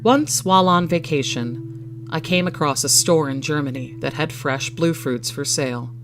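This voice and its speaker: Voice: mid-range voice, moderate pace, fairly bright tone. A storyteller reading a scripted first-person short story as narrator. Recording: music behind the voice.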